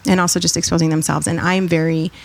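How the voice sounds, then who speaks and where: Animated, low, gravelly-voiced woman. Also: fast talker. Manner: animated, digressive, laughs often. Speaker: podcast guest, author and consultant. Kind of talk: casual interview chat.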